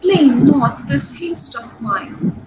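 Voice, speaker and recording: A woman's voice: high-pitched, animated, slow pace, heavy background noise. A woman playing an ardent, commanding young countess in a dramatic reading of Shakespearean comedy. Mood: eager.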